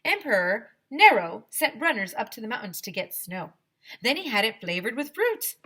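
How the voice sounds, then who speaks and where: Lady reading as a chatty ice cream guy in a kids book, bright and loud, lecturing like a know-it-all. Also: on the high side, big pitch swings, moderate pace, slightly rough, voice pushed up, exaggerated voice. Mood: eager lecturing. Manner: emphatic, lecturing.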